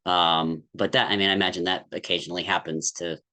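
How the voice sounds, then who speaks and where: Very deep-voiced man, reflective and rambling. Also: flat delivery, fast talker, pushed and bright. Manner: thoughtful, rambling, many restarts and fillers. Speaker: podcast guest. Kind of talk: candid conversational podcast talk.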